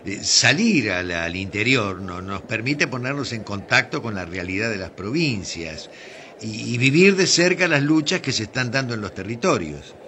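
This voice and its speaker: Animated, stammering Argentine theatre director. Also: male voice, on the low side, moderate pace. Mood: solemn, earnest. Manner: stammering, solemn declamatory speech. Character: earnest theatre company director, flustered and stammering. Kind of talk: radio drama acting. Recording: faint background noise.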